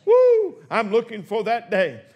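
Older guy preaching in his 60s, high voice, goes quiet then shouts, church sermon. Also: huge pitch swings, a little slow, lo-fi sound. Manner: preaching with swings from hushed asides to shouted exclamations. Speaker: pastor. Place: church sanctuary.

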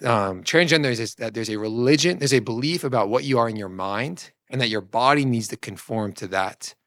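A man's voice: mid-range voice, fairly quick, fairly bright tone, dry recording. Man co-hosting a discussion, dry close sound. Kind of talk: conversational discussion of a book.

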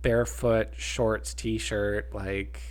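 A man's voice: lowish voice, level tone, drawn-out words. A congested, sniffly man co-hosting a podcast, chatty and jokey in casual conversation. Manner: chatty and jokey, laughs often. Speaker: podcast co-host. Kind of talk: casual chat.

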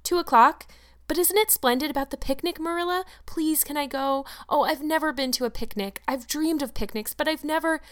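A woman's voice: high voice, fairly quick, fairly bright tone, pitched above the performer's own voice. A woman voicing an eager, chattering orphan girl of about eleven, breathless and gushing as she pleads. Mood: pleading, excited.

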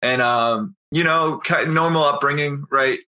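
Laid-back young singer. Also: male voice, a little high, unhurried, pushed and bright. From outside Boston, Massachusetts. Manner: laid-back, rambling, full of "you know" and "right?". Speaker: guest, singer. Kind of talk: casual interview chat.

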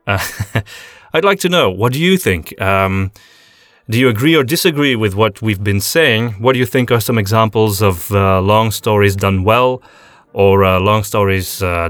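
Low-voiced man chatting on a podcast. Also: fairly bright tone. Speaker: podcast host. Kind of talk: casual conversational podcast chat.

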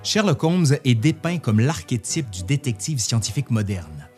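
A man presenting history in scripted narration, reading out quotations in an articulate, expressive way. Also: medium pitch, normal speed, faint music behind.

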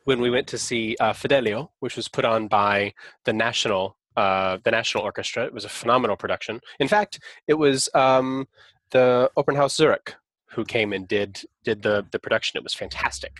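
A man's voice: mid-range voice, brisk, fairly bright tone, no room sound. A man hosting a podcast and chatting casually, rambling with frequent restarts and light laughs. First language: English. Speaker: podcast host.

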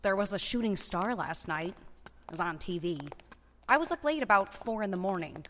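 A lively, mid-pitched female voice with faint noise behind, an amateur actress, playing a naive zombie survivor. She sounds observant and puzzled, in audio drama style. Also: normal speed, close to an everyday voice.

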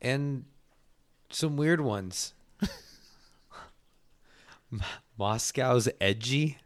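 A man's voice: medium pitch, very slow, dry recording. Casual young man, dry close sound. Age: late 20s. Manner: laid-back slangy banter, swearing. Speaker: podcast host. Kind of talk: casual chat.

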